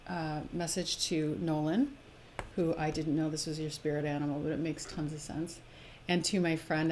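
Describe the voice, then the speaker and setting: Female live-stream host with a low register, delivering spiritual readings and casual talk over slight noise. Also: a little mellow. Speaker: host of a live spiritual oracle card presentation.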